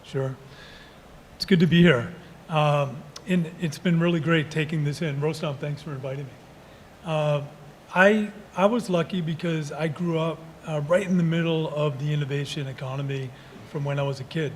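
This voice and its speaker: A man's voice: on the high side, moderate pace, slight background noise. A man speaking earnestly and steadily as a panelist at a conference. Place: conference hall.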